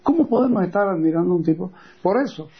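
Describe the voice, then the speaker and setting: A man's voice: sits high, expressive, fast talker, slightly rough, lo-fi sound. An older man talking casually as an interviewer, often repeating phrases as he goes. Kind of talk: casual conversation.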